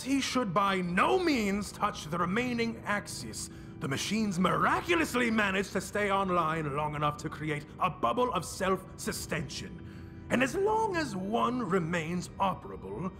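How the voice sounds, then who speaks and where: A man playing a scheming, menacing villain in a video game, with grandiose, sneering, drawn-out delivery. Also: high-pitched, sharp tone, heavily acted. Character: theatrical scheming villain, menacing and world-weary. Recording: music under the voice. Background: prominent music.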